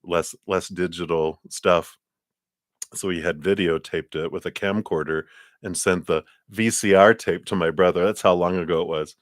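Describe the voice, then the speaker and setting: Deep-voiced chatty man reading tarot. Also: fairly even intonation, normal speed, dry recording, studio quality. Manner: rambling, chatty, laughs often. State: tickle in throat, coughing. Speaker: tarot reader hosting a live stream. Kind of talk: casual livestream chat and card reading.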